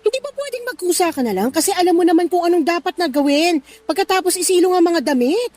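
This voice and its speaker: High-pitched exasperated Filipino mother. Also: animated, fast talker, darkish tone. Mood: scolding. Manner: scolding, sighing, muttering to herself. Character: exasperated middle-aged mother nagging her son's lazy girlfriend. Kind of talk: Filipino radio drama acting.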